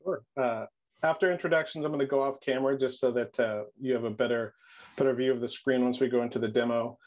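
A middle-aged man presents a webinar over a video call. His voice sits at a mid pitch. He often repeats a word or two as he gets a phrase going. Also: fairly even intonation, quick pace, darkish tone. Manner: repeats words while starting phrases. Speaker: product marketing presenter.

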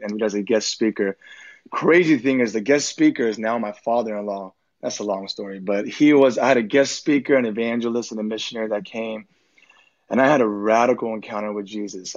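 Young minister, late twenties man, earnest and easygoing, mid pitch, slightly muffled call sound. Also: a little mellow. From Korea. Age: 20s. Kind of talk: casual conversation.

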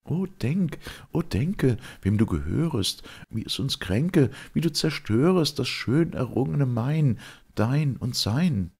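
A man's voice: medium pitch, animated, slow, gravelly, a little mellow, husky, pitched above the performer's own voice, exaggerated voice. A man voicing a noble, tender mother who declaims lofty verse. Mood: pleading. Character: noble, tender, pleading mother in a classical drama.

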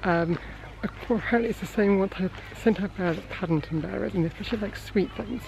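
A man's voice: sits high, quick pace, creaky, darkish tone, slight background noise, poor audio. A man, chatting casually to a handheld camera outdoors as he vlogs. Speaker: vlogger. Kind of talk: casual vlog chat to camera. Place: outdoors at a zoo farm. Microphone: handheld camera at arm's length.

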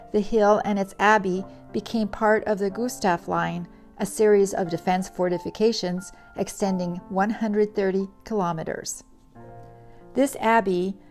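Middle-aged woman, craft tutor voice-over, measured and earnest, gravelly tone, faint music bed. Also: medium pitch, a little mellow. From Poland. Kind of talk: read voice-over narration.

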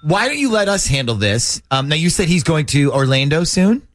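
A man's voice: a little high, big pitch swings, normal speed, a bit bright. A man hosting a radio phone-in show, talking in a casual, joking way. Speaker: morning radio show host.

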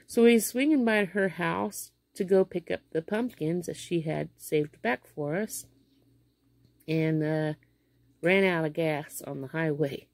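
A woman's voice: low voice, unhurried, a little mellow, no room sound. A woman in her fifties with a Midwestern American accent, telling a rambling everyday story in a home vlog, full of fillers and restarts, filmed on a phone held close in a small bedroom. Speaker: vlogger. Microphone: phone camera held close below face.

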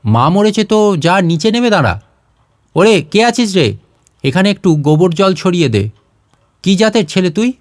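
Man acting a scolding, haughty steward, raised pitch. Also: very animated, normal speed, pauses often, no room sound, studio quality. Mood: disgusted. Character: irritable, contemptuous landlord's steward, a bullying man.

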